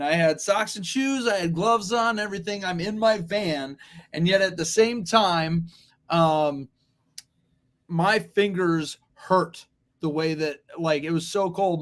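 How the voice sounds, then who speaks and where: A man's voice: on the high side, measured pace, a bit bright. An American man co-hosting a morning show, chatting casually in an easygoing, good-humored way. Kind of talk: casual chat.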